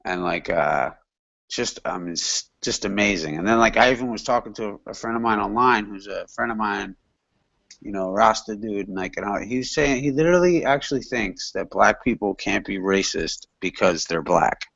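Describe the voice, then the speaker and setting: A man's voice: fairly low pitch, normal speed, gravelly, a bit bright, no echo, lo-fi sound. A man chatting casually as a panelist in an online hangout, rambling and profane, with lots of fillers. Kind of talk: casual chat.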